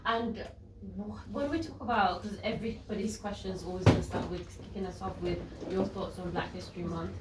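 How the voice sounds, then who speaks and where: An upbeat, chatty woman moderating a panel at a community event. She sounds off-mic, with a roomy echo around her. Clear crowd noise from the hall runs underneath. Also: medium pitch, fairly even intonation, fairly quick. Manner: upbeat, chatty, laughs easily. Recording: echoey hall, distant mic, audible room noise.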